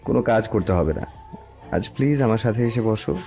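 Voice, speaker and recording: A man playing a protective husband in an audio story, speaking softly with gentle authority as he instructs his wife. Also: fairly low pitch, a little fast, soft background music, natural voice. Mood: concerned, coaxing. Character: soft-spoken, protective, older husband instructing young wife. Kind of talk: Bengali audio story acting.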